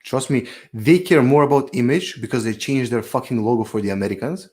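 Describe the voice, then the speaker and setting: A man's voice: medium pitch, a little fast, a little mellow. A man co-hosting a podcast in casual chat, blunt and teasing, swearing freely. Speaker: podcast co-host.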